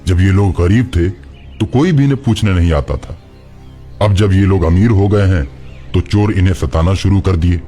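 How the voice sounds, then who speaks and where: Man voicing a solemn, dark-toned kindly ghost. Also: low-pitched, normal speed, soft background music, voice pushed down, natural voice. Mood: concerned, resolved. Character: kindly protective male village ghost, earnest and solemn. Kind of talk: animated Hindi moral story dialogue.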